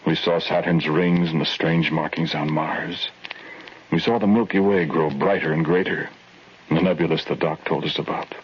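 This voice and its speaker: An actor playing an earnest male survivor telling his grim story in a solemn, measured 1940s radio drama style. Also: a bass voice, fairly even intonation, slightly rough. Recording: muffled old radio recording with music.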